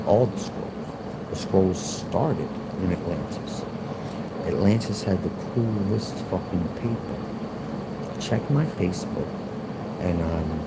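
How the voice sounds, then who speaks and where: A man in his forties with a Philadelphia accent, rambling and repeating himself in a livestream monologue. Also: low-pitched. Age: 40s. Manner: rambling, repetitive, argumentative. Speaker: livestream host. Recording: loud background noise, air conditioner hum.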